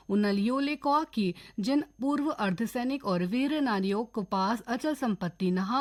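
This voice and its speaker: A woman newsreader with a Kumaoni accent, reading a scripted regional radio bulletin in a steady, formal way. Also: medium pitch. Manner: steady, formal news delivery.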